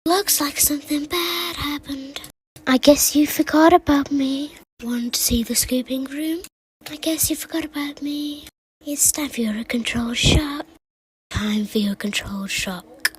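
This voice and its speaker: A woman voicing a sinister animatronic from a game, softly threatening in a hushed, sweetly menacing way. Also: high-pitched, measured pace, voice pushed up. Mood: soft menace.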